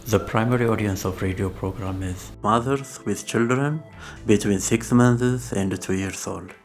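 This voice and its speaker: A man speaks, explaining project work as in a documentary interview. Music plays clearly beneath his voice. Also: lowish voice, unhurried. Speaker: project team member explaining a radio drama program. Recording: music bed under interview.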